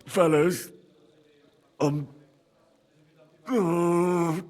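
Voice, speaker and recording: An actor playing a young, modest, good-natured medieval adventurer in a video game. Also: male voice, a little high, steady tone, extremely slow, darkish tone. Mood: sheepish. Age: young adult. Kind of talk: video game cutscene acting.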